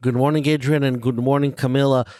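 A European-born man, a rabbi co-hosting a radio talk show, speaking slowly with many fillers. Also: medium pitch, fairly even intonation, a little mellow, no echo, clean studio sound. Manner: slow, halting, many fillers. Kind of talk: radio interview.

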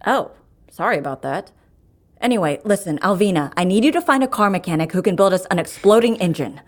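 An actress playing a brisk, demanding woman boss in an audio drama. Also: mid-range voice, expressive, natural voice. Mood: brisk, distracted.

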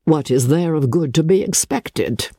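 A weary older gentleman from a period storybook, put on by a woman narrator. His voice sits low and mellow. He speaks with deliberate, dry resignation. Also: animated, breathy edge, dry recording, exaggerated voice. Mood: resigned.

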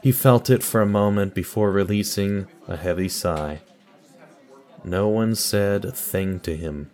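A man's voice: on the low side, measured pace, a little mellow. A man delivering scripted narration and announcements for an audio drama.

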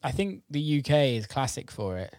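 A man's voice: mid-range voice, big pitch swings, moderate pace, darkish tone, no room sound, studio quality. A man hosting a casual interview, chatting informally with plenty of slang and swearing. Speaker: interviewer and host. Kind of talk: casual chat.

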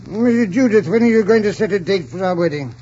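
An actor playing a stuffy, hesitant older suitor with a creaky, husky voice in a 1940s radio drama. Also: male voice, high-pitched, animated, quick pace, dark tone. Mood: worried, peevish. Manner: hesitant, stammering, fussy. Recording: dull 1940s radio recording.

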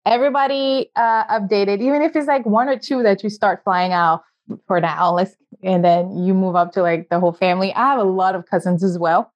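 A woman's voice: mid-range voice, fairly even intonation, fairly quick. Podcast host: woman with a mid-range voice, chatty and rambling, slightly muffled recording. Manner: chatty, rambling questions, laughs often. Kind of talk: casual interview hosting. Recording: slightly dull sound.

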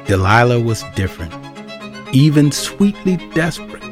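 A man giving dramatic, deliberate scripted narration as the storyteller of an audio drama. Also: medium pitch, sing-song. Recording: string music clearly behind the voice.

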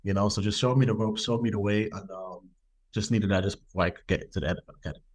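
A young man from Ireland answering interview questions in a relaxed, laid-back way, with many ums. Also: fairly low pitch, fast, slightly rough. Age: young adult. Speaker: guest football player.

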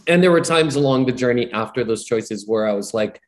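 A man's voice: medium pitch, expressive, fairly quick. A man from Hawaii, a musician guest, telling his story in an earnest, rambling way, with many "you know"s. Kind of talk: casual reflective storytelling.

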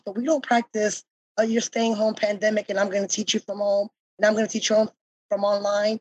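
Breathy earnest mother on a panel. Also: mid-range voice, darkish tone, no room sound, highs cut off. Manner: earnest, grateful, thinks aloud with pauses. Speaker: parent panelist. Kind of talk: panel discussion.

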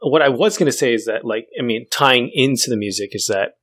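A man's voice: mid-range voice, a little fast, fairly bright tone, slightly rough. A man co-hosting a film podcast, speaking casually with plenty of hedges and "like". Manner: hedging, often says "like" and "I mean". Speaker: podcast co-host.

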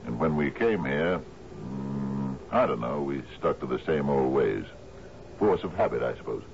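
An actor playing an aging, gruff sea captain in a 1970s radio drama, commanding yet lonely, halting in his speech. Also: rumbling low, expressive, heavily acted. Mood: wistful, lonely. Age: older. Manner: gruff, halting, swings from muttering to shouting. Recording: dull, noisy 1970s radio broadcast.

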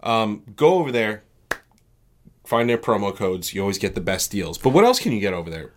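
Man, podcast co-host, casual chat, rambling, restarts, lively pitch. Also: brisk, slight background noise.

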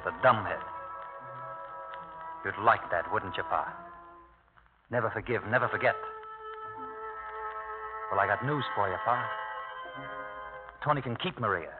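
Man playing a low-voiced, brooding tough guy. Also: natural voice. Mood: bitter, resolved. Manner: terse, sardonic. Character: hard, brooding grown son, an ex-convict. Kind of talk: 1950s radio drama acting. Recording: narrow-band vintage radio recording with music.

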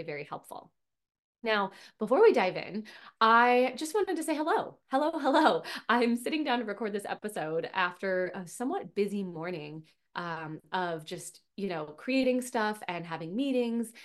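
A woman hosting her own podcast, chatty and upbeat with many fillers and restarts, a little nasal from a cold. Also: medium pitch. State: getting over a cold, a bit nasal. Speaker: podcast host and business coach for piano teachers. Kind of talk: casual solo podcast talk.

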